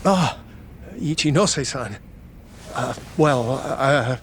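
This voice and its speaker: A man's voice: mid-range voice, lively intonation, slow pace, a little hiss or hum. A man playing a nervous, defensive research scientist in a video game, with a flustered, faltering delivery. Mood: startled, nervous. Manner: stammering, flustered. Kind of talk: video game drama acting.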